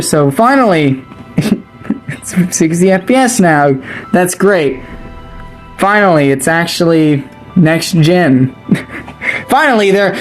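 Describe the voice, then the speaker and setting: A gaming video creator talking through a game update in a casual, excitable, rambling way, with a slightly raspy voice after a long stream. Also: medium pitch. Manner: chatty and excitable, rambling with restarts. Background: faint ambient music.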